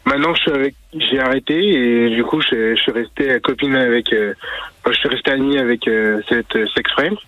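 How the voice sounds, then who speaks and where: A man calling in to a radio show and telling his story haltingly, with many fillers. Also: mid-range voice, no echo. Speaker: caller. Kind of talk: phone call to a radio show. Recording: muffled phone line.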